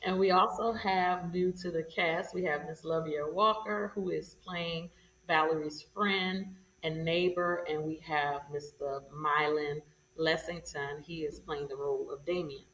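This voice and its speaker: A woman's voice: low voice, steady tone, a little slow, fairly bright tone. A woman presenting guests in unscripted, conversational introductions. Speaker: show presenter introducing cast. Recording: echoey, distant mic, background noise.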